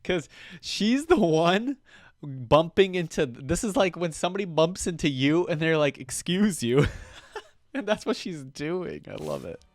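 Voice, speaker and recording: A man's voice: on the high side, lively intonation, unhurried, no room sound, studio quality. A man hosting a reaction video, commenting casually and with easy, amused enthusiasm.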